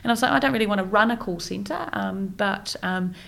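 A woman's voice: mid-range voice, fairly quick, creaky, a bit bright. A woman in her forties with a New Zealand accent, a guest talking reflectively in a casual interview, with many fillers and restarts.

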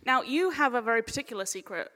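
Woman moderating a panel, bit high pitched, jokey informal style, echoey hall. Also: expressive, fast talker, a bit bright. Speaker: panel chair. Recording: echoey hall with long reverb.

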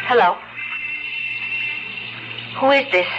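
Anxious wife, slow and halting. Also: female voice, a little high, loud music behind the voice. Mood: startled, uneasy. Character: anxious, evasive married woman in her New York apartment. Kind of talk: 1970s radio drama acting. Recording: dull, noisy old radio recording.

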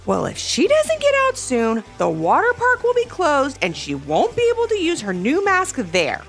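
Woman doing a little boy in a kids story, impatient, high pitched, super animated. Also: measured pace, soft background music, heavily acted. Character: impatient young boy, eager big or little brother.

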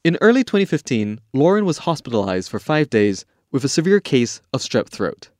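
A man's voice: mid-range voice, very animated, moderate pace, a little mellow, no room sound, studio quality. A man hosting a podcast and reading scripted documentary narration.

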